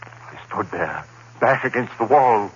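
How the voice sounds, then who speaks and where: An actor playing a troubled, haunted man in an old-time radio horror drama, with a brooding, halting delivery. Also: medium pitch, expressive, slightly rough. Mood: brooding dread. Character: troubled, haunted man narrating his own descent into dread. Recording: dull old radio recording with hiss and hum.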